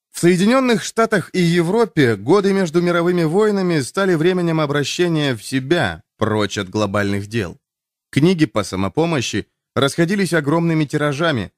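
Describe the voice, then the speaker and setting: A man reading a nonfiction audiobook in an expressive, measured, scripted narration style. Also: fairly high pitch, no room sound, studio quality.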